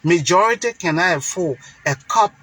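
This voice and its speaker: A man's voice: high voice, expressive, normal speed, a bit bright, breathy edge, low-quality recording. A man from Liberia with a Liberian accent, giving an impassioned political monologue in an emphatic, preacher-like way, repeating phrases for stress. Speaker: political commentator.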